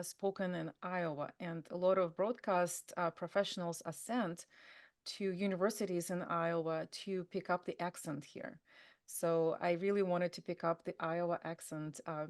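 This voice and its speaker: Chatty woman with a Russian accent. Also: lowish voice. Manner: chatty, many fillers and restarts. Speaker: guest. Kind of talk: conversational interview.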